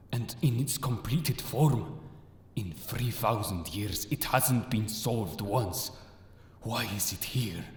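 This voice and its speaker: A man's voice: low-pitched, darkish tone, breathy edge, exaggerated voice. A man playing a solemn, menacing mystical Egyptian avenger in an audio drama, speaking in a grave, portentous manner. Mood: astonished, intrigued. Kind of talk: dramatic audio manga acting. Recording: voice over prominent dramatic music.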